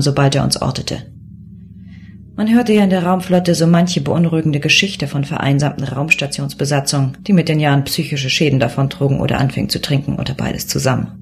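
A woman's voice: deep voice, a little mellow. An actress playing a dutiful, matter-of-fact female space police inspector in command, speaking and narrating in a scripted audio drama.

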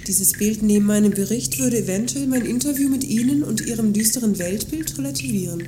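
An actress playing a condescending, talkative magazine journalist in a scripted radio play, speaking in long, pompous sentences. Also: female voice, fairly high pitch, a little fast, background noise, close to an everyday voice. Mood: haughty reproach. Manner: pompous, wordy, haughty. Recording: music behind the dialogue.

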